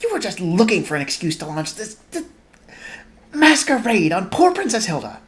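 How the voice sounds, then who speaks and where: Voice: mid-range voice, lively intonation, normal speed, lightly acted. A reader voicing an exasperated young mother who snaps and hisses her retorts. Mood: fierce hiss. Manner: fierce, sharp retorts, hissed.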